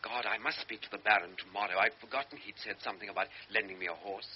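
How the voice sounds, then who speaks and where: An actor voicing a guilt-ridden young cavalry officer in a vintage radio play, brooding to himself in a mid-pitched voice. The recording is dull and muffled, with a faint hiss. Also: male voice, fast, lightly acted. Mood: brooding, guilty. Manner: brooding inner monologue, flustered excuses. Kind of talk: classic radio drama acting.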